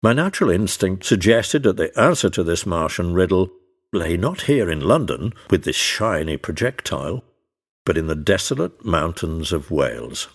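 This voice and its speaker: A man narrates a period adventure in a deep voice. His delivery is formal and measured, with dramatic rises and falls in intonation. Prominent music runs behind him. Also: husky. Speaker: first-person narrator. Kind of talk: dramatic scripted audiobook narration. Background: music under the narration.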